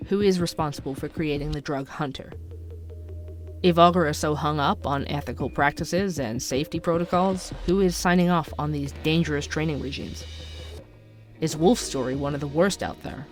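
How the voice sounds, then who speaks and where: An actor playing a dogged, wry young male investigative podcaster in an audio drama, with a dry, measured, sardonic delivery. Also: medium pitch, lightly acted. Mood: intrigued, serious. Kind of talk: scripted narration and dialogue, audio drama.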